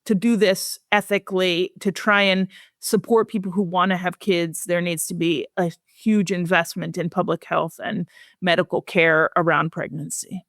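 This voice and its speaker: A woman with a fairly low voice answers as an expert guest in an interview. She is measured and earnest, choosing her words with care. The sound is dry, with very little room. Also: level tone, a bit bright, no echo. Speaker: guest researcher. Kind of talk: podcast interview.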